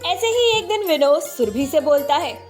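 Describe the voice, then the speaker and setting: A woman narrating a cartoon moral story in a steady storytelling manner. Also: high voice, normal speed, faint music behind.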